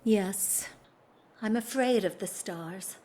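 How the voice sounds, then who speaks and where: A woman's voice: medium pitch, takes it slowly, dark tone, slight background noise, lightly acted. An actress playing a restrained, sorrowful upper-class married lady in a period audio drama, speaking formally and holding her feelings in. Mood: fearful, melancholy. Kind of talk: stage-style period radio drama acting.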